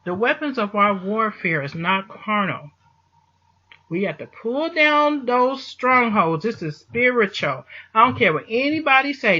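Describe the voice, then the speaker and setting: Male vlogger, high and sharp-edged voice, impassioned religious pep talk, insistent repetition, muffled lo-fi sound. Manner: emphatic, repeats phrases for stress. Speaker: vlogger answering a listener. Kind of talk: impassioned motivational monologue with religious encouragement.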